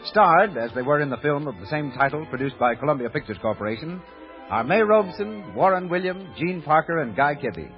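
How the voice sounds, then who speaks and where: A male American announcer in the 1930s radio manner, polished and emphatic as he sells. His pitch moves around a lot, over music, on a muffled vintage broadcast recording. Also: sing-song, brisk.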